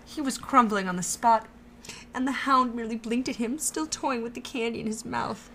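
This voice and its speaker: Calm woman narrating a story. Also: on the high side, moderate pace.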